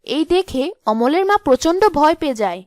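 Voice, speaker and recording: Female storyteller, high pitch, dry sound, scripted fairy tale narration. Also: sing-song, moderate pace, darkish tone, no echo.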